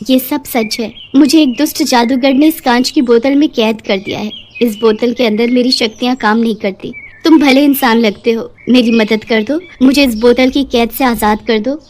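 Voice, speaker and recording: Woman voicing an earnest, high-voiced kind fairy. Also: normal speed, few pauses, darkish tone, slight background noise, natural voice. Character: kind, grateful fairy trapped in a bottle. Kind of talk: animated moral storytelling voice acting.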